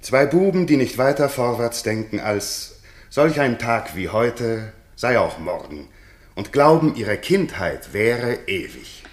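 An actor playing a courtly, gallant royal guest in a classical radio play, with poetic, declamatory delivery in an echoey room. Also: male voice, mid-range voice, big pitch swings, slow. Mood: nostalgic, gallant.

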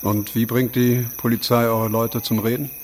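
An actor playing a probing, knowing jungle traveller in a radio drama, talking in a direct, conversational way. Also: male voice, medium pitch, level tone, moderate pace, slight background noise, close to an everyday voice. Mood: low, probing.

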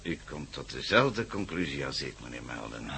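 An actor playing an authoritative police commissioner in a Dutch radio play, emphatic and deliberate as he gives orders. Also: very deep voice, big pitch swings, gravelly, sharp tone, breathy edge, exaggerated voice. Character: authoritative male police commissioner briefing his men.

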